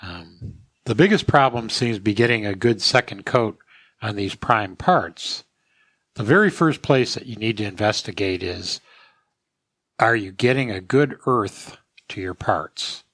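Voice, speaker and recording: A man's voice: on the low side, expressive, a little slow, fairly bright tone, no echo. A man hosting a podcast as its expert, answering questions with conversational technical advice.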